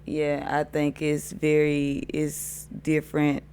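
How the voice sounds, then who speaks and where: A woman DJ with a deep voice, chatting as a podcast guest in a laid-back, hesitant way. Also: monotone, slow, dark tone, slight background noise. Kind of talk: casual interview chat.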